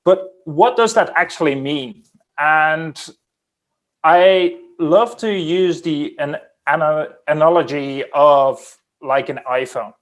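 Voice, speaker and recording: A man with a Dutch accent introducing himself on a video call in a measured way, with some "uh"s. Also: a little high. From Netherlands. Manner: measured, with filler "uh"s and small restarts. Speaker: recruiting team lead. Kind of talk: webinar introduction.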